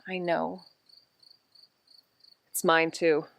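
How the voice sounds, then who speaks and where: A woman's voice: sits low, level tone, very slow, vocal fry, a bit bright, smooth, dry recording, exaggerated voice. A woman playing a brash, sarcastic best-friend sidekick in an audio drama, blunt and quippy. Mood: dry sarcasm.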